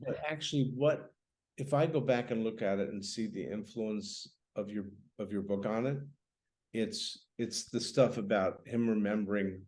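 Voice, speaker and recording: Low-voiced man in reflective conversation. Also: a little mellow. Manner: halting, reflective, repeats phrases while thinking. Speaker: writer, guest. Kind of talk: casual literary conversation.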